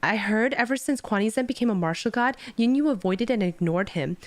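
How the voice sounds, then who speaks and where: A woman's voice: on the high side, expressive, a little fast, no room sound, close to an everyday voice. A woman voicing a petty onlooker who spreads snide gossip.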